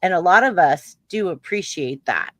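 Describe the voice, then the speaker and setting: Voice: medium pitch, expressive, normal speed, fairly bright tone, dry recording. Chat guest, earnest, rambling, middle voice. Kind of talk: casual chat.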